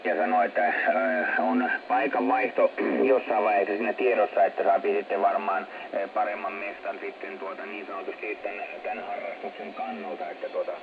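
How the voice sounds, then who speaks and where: A man's voice: fairly low pitch, level tone. Man on tinny, very noisy shortwave radio. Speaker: amateur radio operator. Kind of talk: casual ham radio contact. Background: loud radio static.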